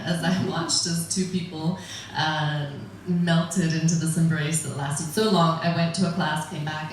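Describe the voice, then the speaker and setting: Middle-aged new woman doing standup, wry and chatty, lowish voice, kinda far off in a super echoey room. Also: slight background noise. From United States. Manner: wry, chatty, laughs at her own jokes. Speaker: stand-up comedian. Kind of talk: stand-up comedy set.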